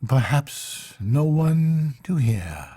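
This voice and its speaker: A man's voice: on the high side, big pitch swings, very slow, mellow, breathy edge, no room sound, studio quality, voice pushed up, full character voice. A man reading an audiobook voices a grieving young woman, the devoted fiancée of a dead man, in a pleading, trembling way. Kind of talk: audiobook reading of a literary novel.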